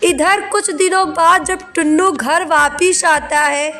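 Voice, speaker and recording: A woman narrates a children's cartoon story from a script. Her voice is extremely high and measured in pace, with music underneath. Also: fairly even intonation, a bit bright. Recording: music behind the voice.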